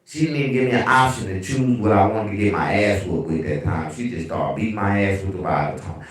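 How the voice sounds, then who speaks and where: A man with a deep bass voice hosting a casual livestream, telling animated, profane stories. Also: fast talker, far from the mic. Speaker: livestream host. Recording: very echoey room, away from mic.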